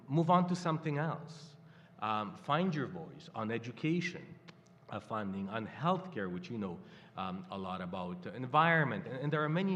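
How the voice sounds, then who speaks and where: An older man from Poland with a Polish accent, speaking haltingly as a guest at a live panel. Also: medium pitch, fairly bright tone, highs cut off. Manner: halting, many fillers and restarts. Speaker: campaign guest. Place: stage in a hall before a live audience. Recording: hall reverb.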